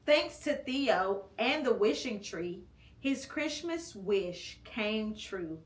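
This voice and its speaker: A woman reading a picture book aloud at home with her child, gentle and encouraging, stopping to prompt him. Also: mid-range voice, expressive, takes it slowly, reverberant. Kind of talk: read-aloud storytime and casual chat.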